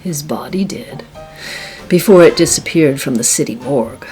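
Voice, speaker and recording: A deep-voiced femme fatale from a forties noir radio drama. She is a proud young society wife, guarded and formal, with music clearly heard behind her. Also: expressive, normal speed, warm tone, rough recording. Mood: composed, secretive. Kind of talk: noir radio play acting.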